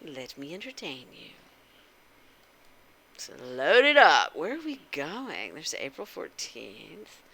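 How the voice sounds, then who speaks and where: Low-pitched, bright-voiced woman hosting a stream. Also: wildly swooping pitch, takes it slowly, creaky, pauses often, slightly rough. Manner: informal, addresses viewers directly with frequent questions. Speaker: livestream host. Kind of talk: casual interactive game stream chat.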